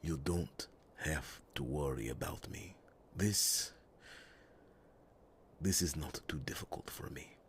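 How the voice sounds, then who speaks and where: An extremely low-voiced, loyal guard captain played by a man in a fantasy audio roleplay. He speaks very slowly and formally, with breathy, solemn reassurance and long dramatic pauses. Faint background noise sits behind the voice. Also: full character voice. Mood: gentle reassurance. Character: loyal, earnest male captain of a royal guard.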